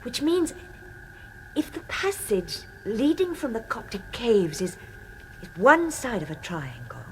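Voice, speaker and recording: An actress playing a headstrong, indignant woman doctor in a radio drama, with a British accent and crisp, defiant delivery. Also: a little high, sing-song, measured pace, slight background noise, low-quality recording. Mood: intent.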